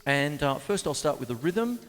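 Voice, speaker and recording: Male drum teacher, chatty and jokey, a touch high-voiced, reverberant home studio with faint music. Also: sing-song, normal speed. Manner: chatty, rambling, jokey asides. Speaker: drum teacher and show host. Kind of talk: casual live-to-camera drum lesson.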